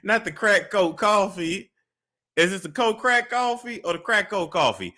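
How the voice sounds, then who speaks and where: A man's voice: high-pitched, sing-song, moderate pace, bright, forceful tone. A young man hosting a gossip commentary show, laughing and mocking the clips he reacts to. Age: young adult. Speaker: commentary host.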